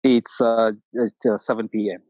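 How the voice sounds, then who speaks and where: A middle-aged man from Cameroon with a Cameroonian accent, calling in to tell a personal story in a halting way with many restarts. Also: on the low side, level tone, darkish tone, no echo. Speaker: caller. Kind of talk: personal story on a call-in show.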